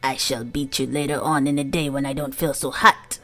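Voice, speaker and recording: Woman doing a low-voiced, sneering storybook aunt. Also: steady tone, sharp tone, soft background music, exaggerated voice. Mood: harsh, threatening. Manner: scornful, drawn-out, snapping. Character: mean, vain, sneering bony aunt. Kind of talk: storybook character voice.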